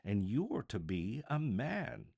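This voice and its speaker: A man's voice: fairly low pitch, sing-song, slow pace, creaky, warm tone, voice pushed up, full character voice. A man voicing a loving, gleeful little girl in a storybook reading, gushing with childish eagerness. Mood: eager.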